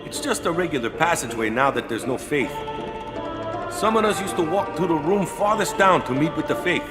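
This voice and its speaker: A man voicing a blunt, easygoing island caretaker who ends lines with "eh?", heard over loud background music in a game audio drama. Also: a little high, expressive, fairly quick, natural voice. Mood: casual. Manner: laid-back, ends lines with "eh?" and "yeah?". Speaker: caretaker and former guardian.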